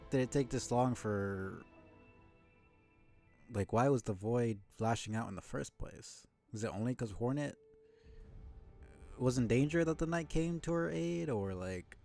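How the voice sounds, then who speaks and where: A man's voice: mid-range voice, a little slow, long pauses, soft background music. A man giving casual live game commentary as a streamer, thinking aloud in a speculative, casually sweary way.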